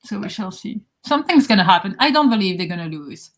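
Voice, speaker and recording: A woman co-hosting a casual book chat on a video call, speaking measured and thinking aloud. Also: mid-range voice, big pitch swings. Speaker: book podcast co-host.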